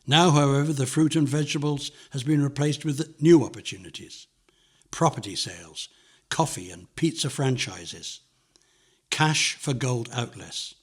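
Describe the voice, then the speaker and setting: An older man reads a nostalgic memoir as scripted narration, in measured, descriptive phrases. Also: mid-range voice, breathy edge. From London, England.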